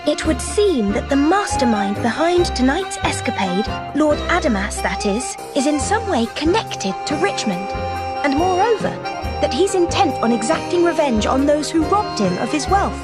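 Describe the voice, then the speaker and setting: A woman playing a poised, confident young British detective in a game, explaining in measured, formal sentences. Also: sits high, very animated. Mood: confident, probing. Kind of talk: scripted game voice acting. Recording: loud music under the voice.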